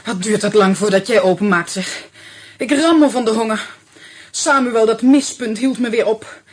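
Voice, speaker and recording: A woman's voice: fairly high pitch, normal speed, husky. An actress playing a bossy, grumbling country girlfriend, complaining and exclaiming in a Dutch radio drama.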